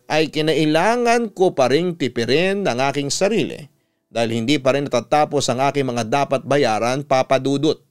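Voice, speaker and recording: A man with a Filipino accent narrating a personal story as a radio storyteller, in a smooth, resonant voice. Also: on the high side. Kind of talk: scripted dramatic narration of a listener's letter.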